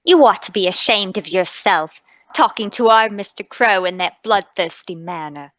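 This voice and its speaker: A woman voicing a soft-hearted, indignant young working-class woman, plaintive and protective, in a mystery radio play table read. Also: mid-range voice, lively intonation, moderate pace, no echo, lightly acted.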